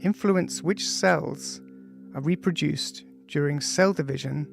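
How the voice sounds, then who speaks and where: A man, the author, introducing and reading his own audiobook, speaking casually with frequent ums and pauses. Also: a little high, creaky. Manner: casual, hesitant, many ums between phrases. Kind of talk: audiobook introduction, loose spoken then read text. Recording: music playing faintly behind.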